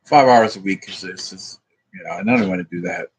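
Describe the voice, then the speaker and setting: A man's voice: fairly low pitch, lively intonation, a little fast, vocal fry, breathy edge. A man rambling in a profane, meandering monologue, thinking aloud as he works through sums. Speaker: vlogger.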